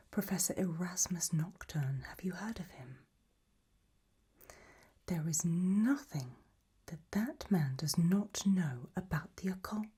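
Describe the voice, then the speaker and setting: A woman playing an elegant, macabre gothic matriarch hosting in a soft, breathy ASMR whisper, genteel and darkly droll. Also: deep voice, heavily acted.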